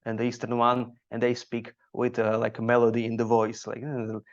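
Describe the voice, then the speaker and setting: Gravelly Slovak-accented man, flat delivery. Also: medium pitch. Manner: halting, searching for words. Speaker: guest comedian. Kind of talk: casual podcast conversation. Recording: dull lo-fi audio.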